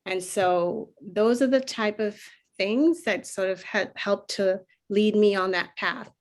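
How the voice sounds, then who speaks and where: A woman from Trinidad and Tobago telling her personal story as a panelist, reflective and pausing often. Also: medium pitch. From Trinidad and Tobago, moved to the United States at twelve. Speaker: panelist, youth mentoring program leader.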